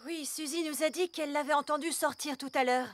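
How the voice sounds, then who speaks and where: A woman's voice: high voice, steady tone, a little fast, dry recording, natural voice. A woman voicing a concerned, helpful female rescue vehicle in a French cartoon dub, speaking earnestly.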